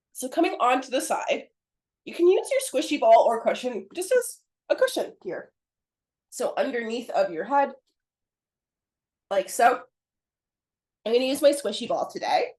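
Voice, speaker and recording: A pilates instructor who is getting over a cold and sounds hoarse, calmly talking a class through each move in an encouraging way. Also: female voice, fairly high pitch. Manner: calm, encouraging step-by-step cueing. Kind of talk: guided pilates class cues. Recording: roomy echo.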